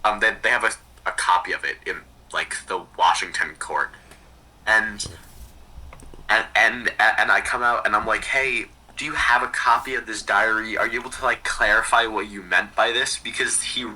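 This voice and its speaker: Male podcast talker, husky but sharp, halting and conversational, recorded at a distance from the mic. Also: on the low side, fairly even intonation. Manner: halting, repeats words and restarts sentences often. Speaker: podcast speaker recounting research projects. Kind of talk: casual conversational storytelling.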